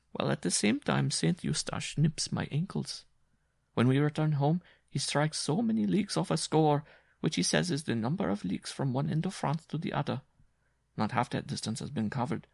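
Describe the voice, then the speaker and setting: A man reading a story, voicing an exhausted, frightened circus strongwoman in a raised, pleading voice with a gravelly, husky edge. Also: a little high, no echo, studio quality, pitched above the performer's own voice. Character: worn-out desperate circus strongwoman, young adult.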